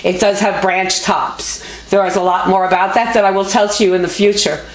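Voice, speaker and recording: A woman with a loud voice speaking off the cuff as an activist host, making an emphatic, indignant, folksy appeal straight to viewers. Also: medium pitch, fairly even intonation, brisk. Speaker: activist video host and fundraiser. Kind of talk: impassioned unscripted appeal to viewers. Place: motel room. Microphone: small video camera. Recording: echoey room, homemade camera audio. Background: background music.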